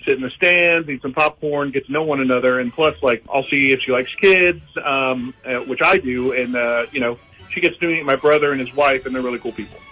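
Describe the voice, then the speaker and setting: A man with an American accent calling a radio show, telling his story casually and haltingly. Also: medium pitch, bright, forceful tone, poor audio. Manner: hesitant, halting. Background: music bed.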